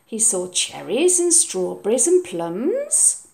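A woman reading a children's story aloud in a measured, expressive way. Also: on the high side, vocal fry, slight background noise. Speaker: storybook reader.

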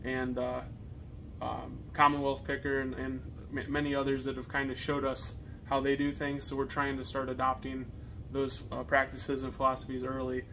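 Young american guy chatting to camera, pretty flat halting delivery, noisy basement sound. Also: medium pitch. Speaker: reseller co-hosting a video channel. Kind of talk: casual chat to camera. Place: partially finished basement, indoors. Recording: noisy basement room recording.

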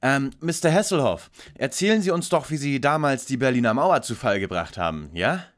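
An actor playing a brisk, skeptical young detective leader in a parody audio play. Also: male voice, medium pitch, expressive, lightly acted. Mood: dry, skeptical.

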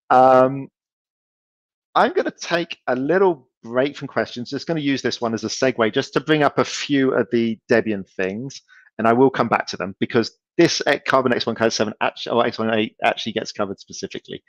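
A middle-aged man giving a casual conference Q&A from a home room over a video call, relaxed and hesitant, with many ums and restarts. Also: medium pitch, normal speed, dry recording, low-quality recording. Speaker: company speaker answering audience questions.

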